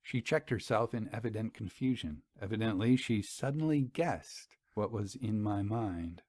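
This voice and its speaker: A man's voice: lowish voice, lively intonation, moderate pace, darkish tone, dry recording, clean studio sound. A man reading a story aloud in an earnest, formal and theatrical way. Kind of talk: dramatic scripted story narration.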